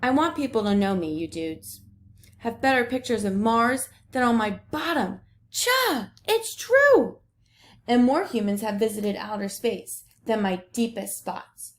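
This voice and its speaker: A woman's voice: a little high, lively intonation, unhurried. A woman voicing the ocean as a laid-back, boastful surfer dude in a picture book read aloud. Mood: enthusiastic. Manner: slangy, breezy surfer talk.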